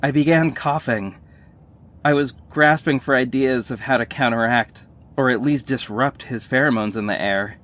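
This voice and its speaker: A man's voice: medium pitch, moderate pace, fairly bright tone, lightly acted. Guy playing sardonic hero telling his own story for an audio drama, middle voice, earnest but wry. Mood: tense recounting. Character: sardonic young male superhero narrating his own fight. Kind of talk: scripted audio drama narration.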